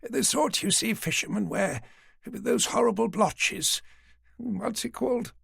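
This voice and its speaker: A woman's voice: on the high side, unhurried, gravelly, fairly bright tone, breathy edge, no echo, clean studio sound, pitched above the performer's own voice, full character voice. A man reading an audiobook, voicing a frail, forgetful elderly woman who was once a nurse, in a genteel, rambling manner. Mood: chatty. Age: older. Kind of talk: audiobook character voice.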